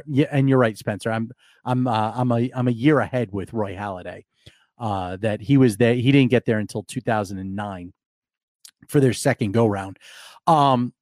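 A hoarse man hosting a live sports podcast, talking casually and rambling with restarts and fillers. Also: medium pitch, a little mellow, dry recording, clean studio sound.

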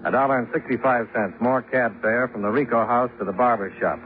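A man playing a brisk, level-headed investigator in a 1950s radio detective drama, clipped and matter-of-fact. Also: mid-range voice, steady tone, close to an everyday voice. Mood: dry narrating. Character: brisk, level-headed male private insurance investigator narrating his case. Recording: narrow-band 1950s radio recording, music behind.

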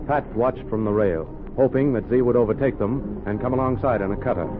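A man narrating a 1930s radio drama in a measured, dramatic way. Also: mid-range voice, noisy background. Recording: tinny old radio recording with music.

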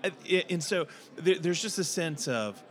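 A man hosting a discussion, searching for words with many pauses and fillers. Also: a little high, animated, a bit bright. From United States. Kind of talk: casual discussion.

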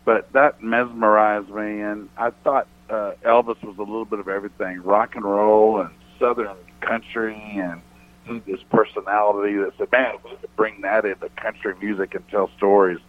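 A man with a deep voice, a country singer chatting casually as a radio guest, rambling thoughtfully with many restarts. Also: little pitch movement, normal speed. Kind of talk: casual radio interview chat. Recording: tinny phone-line sound.

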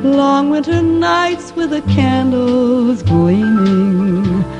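A woman's voice: high voice, very slow, loud music behind the voice. A woman singing a 1930s-style ballad as featured singer on a radio show, with musical accompaniment.

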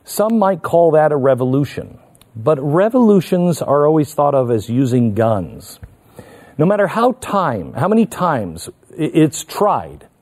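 Mellow-voiced male political commentator, lively intonation. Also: a little high.

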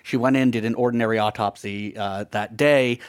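A man's voice: fairly low pitch, steady tone, a little fast, clean tone, dry recording, clean studio sound. A middle-aged man from the eastern United States, a co-author talking in a live onstage conversation, chatty and given to restarts.

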